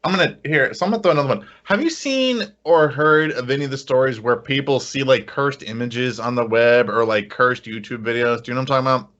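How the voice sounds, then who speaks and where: Bright-voiced male podcast host. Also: medium pitch, quick pace, few pauses. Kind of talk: casual chat. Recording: dull lo-fi podcast audio.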